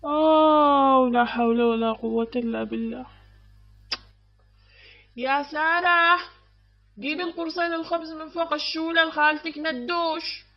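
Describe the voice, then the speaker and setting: A woman playing an exasperated, sharp-tongued Yemeni hostess in a comic cartoon, exclaiming and giving orders in an Adeni accent. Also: sits high, fairly even intonation, normal speed, pauses often, muffled recording, music in the background. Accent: Adeni Yemeni Arabic. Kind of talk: comic cartoon skit dialogue.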